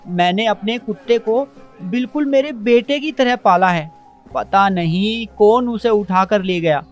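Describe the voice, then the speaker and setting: A distraught cartoon merchant played by a man, soft-hearted and lamenting. Music plays behind his troubled talk. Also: high-pitched, unhurried. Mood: distressed. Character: distraught merchant who dotes on his lost dog. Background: background music.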